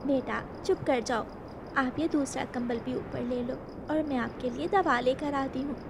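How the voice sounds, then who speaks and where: A woman voicing a worried, gentle and motherly sparrow in a cartoon. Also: high voice, moderate pace, background noise. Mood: tender.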